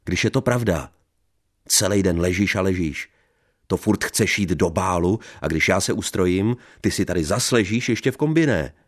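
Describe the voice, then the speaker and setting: A man voicing an angry, jealous young husband who shouts and scolds coarsely. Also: very deep voice, big pitch swings, few pauses, husky, exaggerated voice. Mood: angry scolding.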